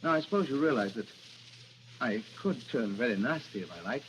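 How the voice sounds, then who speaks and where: An actor playing a smug, condescending gentleman villain in a vintage radio suspense drama, with mocking politeness and quiet menace. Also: mid-range voice, lively intonation, a little fast, natural voice. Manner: mocking, feigned politeness with soft chuckles. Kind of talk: 1940s radio suspense acting. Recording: noisy, muffled old-time radio recording.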